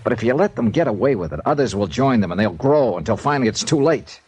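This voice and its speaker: A man's voice: mid-range voice, very animated, quick pace, a little mellow, slightly rough. An actor playing a blunt, hard-boiled male insurance investigator in an old radio drama, pressing questions and narrating. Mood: stern. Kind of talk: 1950s radio drama acting.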